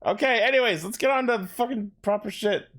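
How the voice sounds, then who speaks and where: A man's voice: high-pitched, quick pace, fairly bright tone. A man co-hosting a podcast, giving casual, mocking recaps with blunt, heavy swearing. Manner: blunt, heavily profane, mocking. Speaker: podcast co-host. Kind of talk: casual sarcastic recap commentary.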